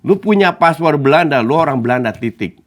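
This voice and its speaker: A man's voice: fairly high pitch, animated, fairly quick. A man, a football coach and pundit, talking in a casual sports show, emphatic and argumentative, dropping English phrases and asking rhetorical questions.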